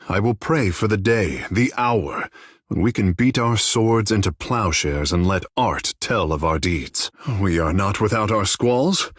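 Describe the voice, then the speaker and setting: Young proud godlike from a fantasy game, lowish husky voice, talks all formal and poetic. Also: male voice, no echo, studio quality. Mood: earnest. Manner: formal, lyrical, theatrical phrasing. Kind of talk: video game character dialogue.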